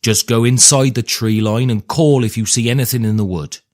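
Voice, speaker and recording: A man playing a loving, gentle woodcutter father in a fairy tale, warm and declamatory. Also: medium pitch, fairly even intonation, moderate pace, pitched above the performer's own voice, lightly acted. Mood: fond, calling out. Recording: music under the voice.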